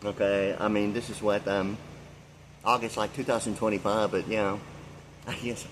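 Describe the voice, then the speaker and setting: A man's voice: lowish voice, little pitch movement, moderate pace, slight background noise, poor audio. Rambling man in his sixties. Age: 60s. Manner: meandering, filler-heavy, free-associating. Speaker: vlogger. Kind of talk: rambling monologue.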